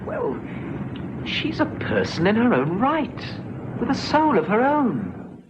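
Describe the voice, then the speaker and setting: An actor playing a kindly, persuasive police officer in an old radio drama, earnest and coaxing, sometimes stammering. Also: male voice, very high-pitched, wildly swooping pitch, takes it slowly, exaggerated voice. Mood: earnest, pleading. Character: kindly, persuasive male police officer talking someone round. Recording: dull, noisy old radio recording.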